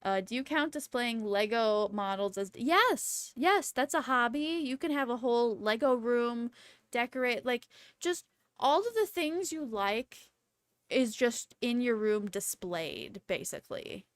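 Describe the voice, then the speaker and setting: A young woman in her twenties with a fairly high voice, chatting casually as a livestream host. She takes her time, drifting from thought to thought in a playful, slangy way with an excitable streak. The sound is close and dry. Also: measured pace, clear, resonant tone, no echo. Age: 20s.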